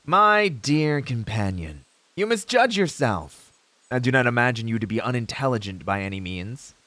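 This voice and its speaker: A man's voice: mid-range voice, very animated, moderate pace, sharp tone, no echo. A man playing an earnest, idealistic young novice hero in a fantasy audio roleplay, speaking in formal heroic phrases with hesitant asides to a companion. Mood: rousing, reassuring.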